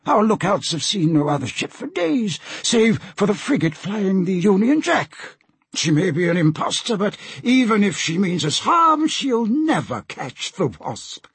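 A man's voice: sits high, very animated, a little slow, a bit bright, husky, no echo, pitched above the performer's own voice, exaggerated voice. A man voicing a proud, confident sea captain in a storybook, formal and firm in manner. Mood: formal, doubtful. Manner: formal, firm, self-assured.

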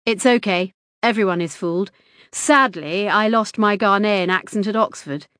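Woman voicing a male hospital consultant, formal, clipped, composed, middle pitch, dry close sound. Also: normal speed, a bit bright, smooth, no room sound, close to an everyday voice. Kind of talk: audiobook character reading.

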